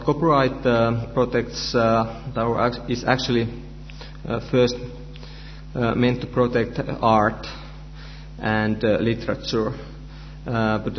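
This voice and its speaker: A Finnish-accented man, a native Finnish speaker, presents at a conference, halting and full of fillers and restarts, his voice staying flat in pitch. It is a dull recording with long reverb from a hall, and a faint hum sits underneath. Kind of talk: conference lecture.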